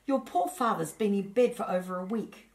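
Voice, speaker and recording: A woman's voice: mid-range voice, normal speed, gravelly, a little mellow, voice pushed up, close to an everyday voice. A woman reader puts on a worried mother dragon in a children's story. She is protective and pleading, speaking with urgency.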